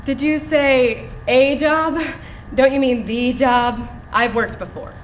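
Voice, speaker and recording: An actress playing a spirited, sardonic young aspiring screenwriter in a stage play, expressive and emphatic. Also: female voice, high voice, takes it slowly. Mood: defensive, eager. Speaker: stage actor. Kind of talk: live stage play acting. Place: theatre stage. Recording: echoey theatre recording, faint noise.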